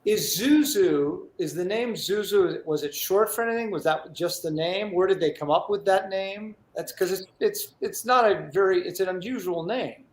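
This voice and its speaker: A man hosting a casual live interview, stammering and repeating words in an excitable way. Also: sits high, moderate pace, few pauses. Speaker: talk show host. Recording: roomy, echoey sound.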